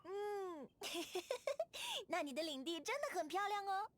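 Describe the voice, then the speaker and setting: A woman voicing a cheerful, outgoing teenage girl in a video game, friendly and expressive. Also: very high-pitched, takes it slowly, heavily acted. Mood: cheerful, affectionate. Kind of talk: game character voice demo.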